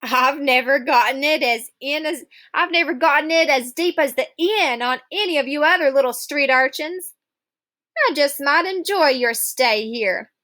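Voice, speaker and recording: A woman's voice: high voice, normal speed, sharp tone. A woman voicing a whiny, sneaky twelve-year-old boy who plays the pitiful victim in a storybook. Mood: smug. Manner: gasping, whimpering, self-righteous.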